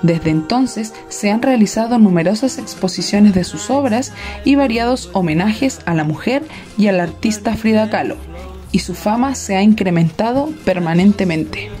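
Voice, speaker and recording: Female narrator, mellow tone, mid pitch, formal scripted documentary reading, measured phrasing. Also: expressive, soft background music.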